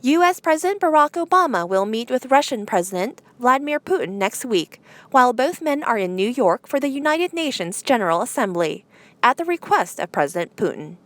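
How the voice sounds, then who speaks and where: A woman's voice: a little high, very animated, brisk, a bit bright, no echo. A woman presenting news headlines, reading from a script.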